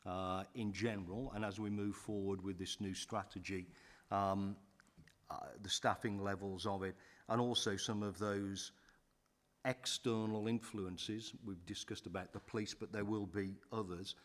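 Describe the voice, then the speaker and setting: A man with a slightly low voice chairs a council committee from a table microphone. His speech halts often, full of fillers and restarts as he gathers his thoughts. The meeting room sound is plain and unadorned. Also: fairly even intonation. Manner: halting, with frequent fillers and restarts.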